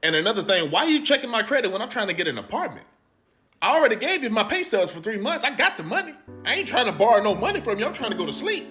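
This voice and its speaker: A person ranting loudly and indignantly. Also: medium pitch, very animated, fast, faint music behind. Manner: loud, indignant rant. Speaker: ranting vlogger.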